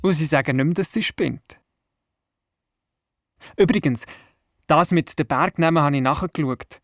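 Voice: medium pitch, a little slow, no room sound, clean studio sound, faint music behind, natural voice. Someone playing a curious Swiss boy telling stories. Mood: eager, proud. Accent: Swiss German. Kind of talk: children's radio play narration and dialogue.

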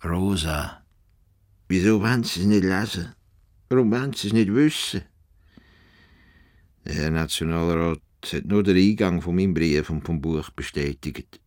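Grumbling, stubborn old Swiss farmer. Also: male voice, sits low, measured pace, pauses often, a little mellow, dry recording, studio quality. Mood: grumbling, bitter. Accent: Swiss German. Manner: grumbling, defiant, emphatic. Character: stubborn, indignant old male Swiss farmer and self-appointed world reformer. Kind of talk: radio play acting.

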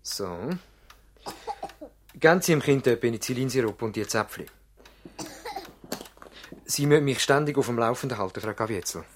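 An actor playing an overworked, curt but conscientious village doctor in a radio play. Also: male voice, mid-range voice, expressive, moderate pace, pauses often, natural voice. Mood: concerned, instructing. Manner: curt, instructing. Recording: noisy old radio play recording.

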